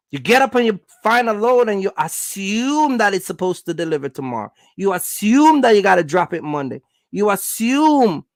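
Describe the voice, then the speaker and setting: A man's voice: very high-pitched, vocal fry, fairly bright tone, dry recording. A man hosting a casual Q&A for viewers, giving business advice in colloquial, slangy phrasing and repeating his points for emphasis. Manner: repetitive, emphatic urging.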